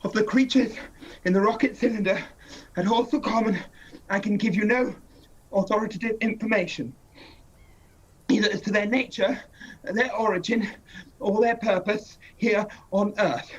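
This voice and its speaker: A man playing a cautious scientist professor who speaks slowly and deliberately, in a 1930s-style radio drama. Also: high voice, fairly even intonation, muffled recording, close to an everyday voice. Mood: measured. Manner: slow, deliberate, weighing each word.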